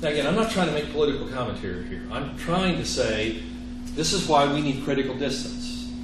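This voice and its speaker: A man's voice: medium pitch, big pitch swings, a little fast. A man who is a native Italian speaker, teaching a religion class in an earnest, emphatic way and often putting questions to his students. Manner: earnest, emphatic, quizzing listeners with rhetorical questions. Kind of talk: interactive religious lecture. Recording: roomy, echoey classroom with clear background noise.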